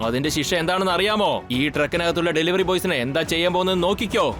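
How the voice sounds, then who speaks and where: A man's voice: high voice, very fast, over music, natural voice. A man voicing a boastful, threatening gang-boss villain in a dubbed children's cartoon, menacing and self-important.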